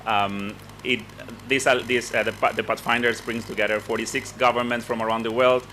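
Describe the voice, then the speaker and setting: A man's voice: medium pitch, flat delivery, a little fast, pushed and bright, slight background noise, rough recording. A man from Argentina with an Argentine accent gives a semi-formal speech as a program director, speaking English as a native Spanish speaker and often repeating words as he searches for phrasing. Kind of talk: semi-formal conference speech, spoken off the cuff. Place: conference event with participants.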